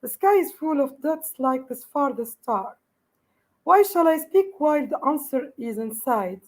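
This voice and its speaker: A woman poet from Tunisia reciting her poem in English in a clear, declamatory manner. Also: high voice, animated, unhurried, poor audio. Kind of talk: poetry recitation.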